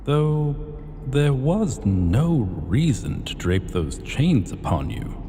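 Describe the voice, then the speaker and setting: A man voicing an arrogant, possessive dragon king in a fantasy ASMR roleplay, speaking in pompous, deliberate, archaic formal phrases. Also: mid-range voice, big pitch swings, dark tone. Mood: smug. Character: arrogant, possessive male dragon king, ancient hoard-keeper. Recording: long cavernous reverb, faint noise.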